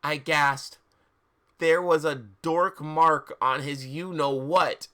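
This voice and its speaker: A man with a fairly high, bright and forceful voice hosts a reading stream. He reads fan fiction with theatrical drama and chats casually with his audience. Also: fairly even intonation, slow.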